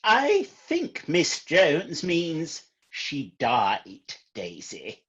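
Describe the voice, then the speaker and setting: Fussy, formal priest played by a man: breathy husky voice, unhurried, comic radio play, muffled sound. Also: fairly high pitch, wildly swooping pitch, fairly bright tone, heavily acted. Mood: placating. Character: courteous, fussy, disgraced priest trying to stay inconspicuous. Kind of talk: comedy radio play acting. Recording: dull, muffled home recording.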